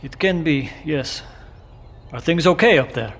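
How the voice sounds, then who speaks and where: A man's voice: on the high side, animated, unhurried, a bit bright. A man acting in an audio drama as a lonely Russian tracking station operator, earnest and a little formal in his phrasing. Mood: wistful. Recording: reverberant, faintly noisy radio exchange.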